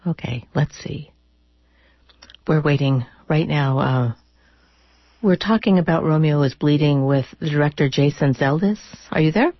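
A woman's voice: sits low, measured pace, husky, dry recording. A woman hosts a casual radio interview. She feels her way through her phrasing in a rambling, tentative way. The sound is very dry and a touch lo-fi. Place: radio studio.

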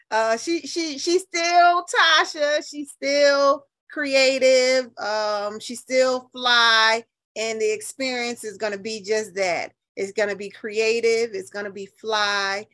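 Middle-aged American woman, high-set and very bright voice, colloquial storyteller in a radio interview. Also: takes it slowly. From New Haven, United States. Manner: chatty, colloquial, emphatic, storytelling. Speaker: radio interview guest, nonprofit leader. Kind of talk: animated conversational interview.

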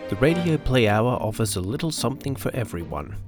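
A man's voice: medium pitch, big pitch swings, fairly quick. A man hosting a radio drama show, reading a polished, promotional scripted introduction. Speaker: host and narrator. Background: music.

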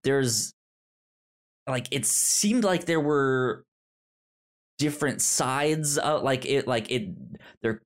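A man co-hosting a podcast, talking casually about a film in a meandering, halting way. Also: medium pitch. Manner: meandering, halting restarts, bursts into laughter. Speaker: podcast co-host. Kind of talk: casual movie discussion.

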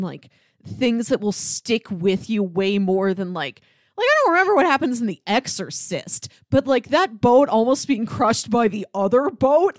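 A woman's voice: fairly high pitch, dry recording, clean studio sound. A woman co-hosting a casual film discussion, making emphatic points and building them through repetition. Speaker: podcast co-host.

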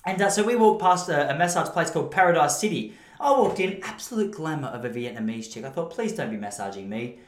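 A roomy, reverberant recording of an Australian man with a voice pitched a little high. He hosts like a storyteller doing a comic monologue. His delivery rambles, often breaking off and restarting as he goes. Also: animated, brisk. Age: adult, married. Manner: rambling, self-interrupting, acts out dialogue. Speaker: podcast host. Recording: roomy, echoing room sound.

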